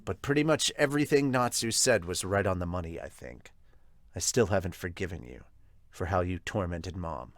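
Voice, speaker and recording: A man voicing a guarded, resentful teenage son in a subdued, measured anime fan dub style. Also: sits low, expressive, no room sound, clean studio sound, pitched below the performer's own voice. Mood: cool, resentful.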